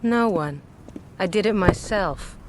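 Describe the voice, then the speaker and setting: An actress playing a tough, sardonic young gang informant in a video game, bossy, blunt and confident. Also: female voice, mid-range voice, animated, takes it slowly, background noise. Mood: curt, irritated. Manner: blunt, foul-mouthed, confident.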